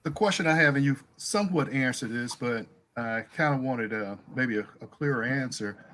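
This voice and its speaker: A man's voice: medium pitch, normal speed, fairly bright tone. A man hesitantly asking a guest a question. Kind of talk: casual question.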